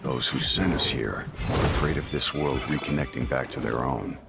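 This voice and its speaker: Very deep, gravelly, brooding game warrior. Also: male voice, moderate pace, over loud music. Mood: pensive. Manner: blunt, terse, intense. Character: gruff, brooding, driven warrior man bent on destroying chaos. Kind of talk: English video game voice acting.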